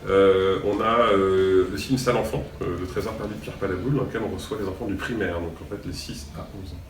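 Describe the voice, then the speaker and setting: A man's voice: sits low, fairly even intonation, reverberant, recorded from a distance, slight background noise. A young man from Montreal, explaining his escape game business in an interview, with many fillers. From Montreal, Quebec. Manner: fast, filler-heavy explaining. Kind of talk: promotional interview.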